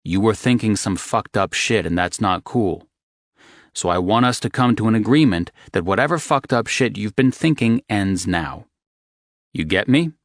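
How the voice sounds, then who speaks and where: A man voicing a protective young man who is gentle but swears freely. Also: animated, normal speed, dry recording, clean studio sound. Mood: tender. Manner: low, gentle, coarse-talking.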